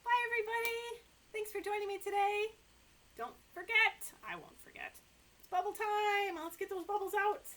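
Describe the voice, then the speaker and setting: High, bright American woman talking to toddlers. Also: moderate pace. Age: middle-aged. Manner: animated, exaggerated expression for young children. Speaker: children's librarian hosting story time. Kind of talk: story reading and chatting to toddlers. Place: small indoor room with sofa. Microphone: camera a short way in front.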